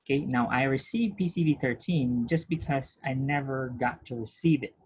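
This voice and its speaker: A man teaching a nursing class, explaining step by step, often checking that students follow and clearing his throat. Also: mid-range voice, moderate pace, a little mellow. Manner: patient, repetitive, checks understanding with questions; frequent throat clearing and restarts. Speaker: nursing instructor. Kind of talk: recorded lecture over screen share.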